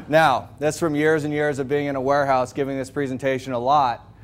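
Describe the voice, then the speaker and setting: A man giving a loud, projecting sales presentation to a live audience, firing questions at the crowd. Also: medium pitch, little pitch movement, normal speed. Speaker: product presenter.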